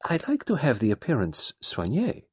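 A man voicing a dapper little foreign detective in a storybook reading, plaintive and fastidious. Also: medium pitch, huge pitch swings, slightly rough, no echo, studio quality, pitched above the performer's own voice. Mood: mournful.

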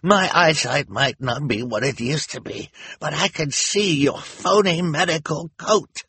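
A man voicing an indignant older clerk in an audiobook, shouting accusations. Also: fairly high pitch, unhurried, husky, dry recording, pitched above the performer's own voice, exaggerated voice. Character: indignant older hospital clerk with failing eyesight.

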